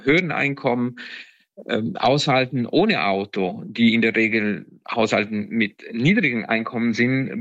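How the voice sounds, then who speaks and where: A man's voice: mid-range voice, vocal fry, fairly bright tone, dry recording, muffled recording. A man from Italy with an Italian accent, a non-native speaker, answering interview questions as an economist guest, hesitant and full of filler sounds.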